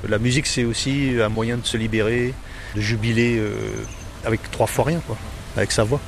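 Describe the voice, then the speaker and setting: Middle-aged Parisian man, interviewee, hesitant and relaxed, faint traffic behind. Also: mid-range voice, moderate pace. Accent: Parisian French. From Paris, France. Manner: hesitant, many fillers and restarts.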